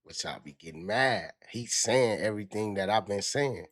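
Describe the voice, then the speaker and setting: A man's voice: lowish voice, lively intonation, normal speed, fairly bright tone, no echo, clean studio sound. A man hosting a reaction channel, casually explaining his take to viewers. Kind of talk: casual commentary.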